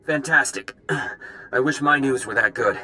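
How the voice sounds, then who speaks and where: A wounded male spy from a video game, weakened and desperate. The voice sits at a medium pitch with a tense edge. Each phrase sounds pained and hard to get out. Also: normal speed, sharp tone. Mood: strained urgency. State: in pain, struggling to speak.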